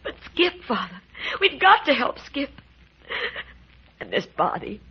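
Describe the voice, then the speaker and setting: A woman's voice: high voice, wildly swooping pitch, a little slow, slightly rough, full character voice. An actress in old radio melodrama playing a frightened, overwrought young woman who speaks with pleading emotion. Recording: noisy, muffled old radio recording.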